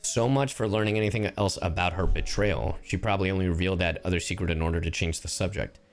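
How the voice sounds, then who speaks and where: A man's voice: sits low, fast talker, soft background music. A man giving casual live-stream game commentary in a slangy, profane manner.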